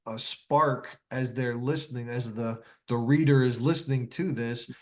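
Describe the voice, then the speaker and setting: A man speaking as a guest author in a casual conversation, halting and loosely phrased, often repeating words as he goes. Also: medium pitch, darkish tone.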